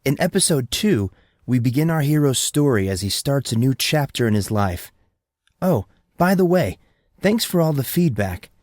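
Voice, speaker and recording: A man reading an audiobook in a steady, measured storytelling manner. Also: mid-range voice, dry recording, studio quality. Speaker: audiobook narrator. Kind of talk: scripted audiobook narration.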